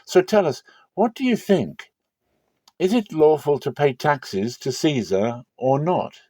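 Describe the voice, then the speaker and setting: A man voicing sly, flattering questioners who test a teacher in a dramatic scripture reading. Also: on the high side, slow pace, no room sound, pitched above the performer's own voice. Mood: sly flattery. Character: smooth-talking questioners slyly testing a teacher.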